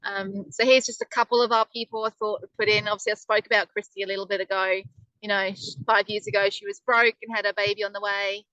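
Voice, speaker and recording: A woman's voice: mid-range voice, flat delivery, quick pace, bright, forceful tone, dry recording, rough recording. A woman presenting a casual webinar in a chatty, upbeat way, with lots of ums. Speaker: online business presenter.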